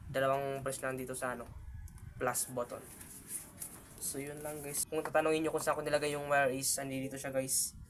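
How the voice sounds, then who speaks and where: A man with a Filipino accent giving a casual product demonstration as a vlogger, halting as he goes and slipping between his own language and English phrases. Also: mid-range voice. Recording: clearly noisy amateur vlog recording.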